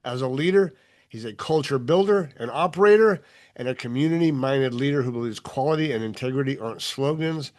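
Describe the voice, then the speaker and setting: A man hosting a leadership podcast, reading a polished, upbeat scripted intro and then interviewing his guest. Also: medium pitch. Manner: upbeat, polished promotional delivery. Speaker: podcast host.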